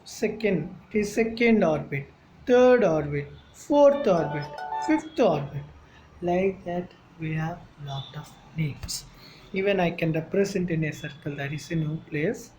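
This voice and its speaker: A woman with a Tamil accent teaching chemistry, talking slowly and checking in with her listeners. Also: low voice, lively intonation, mellow, faint music behind, low-quality recording. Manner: slow, repeats questions like "Okay, va?" to students. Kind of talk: classroom lecture. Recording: faint background noise.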